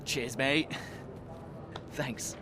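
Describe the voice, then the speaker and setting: An actor playing an eager, friendly young rookie racing driver in a video game. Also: male voice, mid-range voice, steady tone, very slow, background noise. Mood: elated. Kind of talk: cinematic video game acting.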